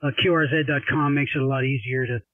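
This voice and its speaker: An older man chatting casually as a ham radio operator, explaining things in a rambling, halting way with repeated words. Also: medium pitch, fairly even intonation. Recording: roomy, narrow-band radio sound, distant.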